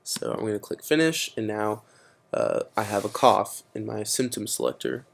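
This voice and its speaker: A man's voice: fairly low pitch, sing-song, normal speed, vocal fry. A teenage boy presenting a casual computer tutorial, explaining steps with frequent fillers. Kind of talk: screen-recorded computer tutorial.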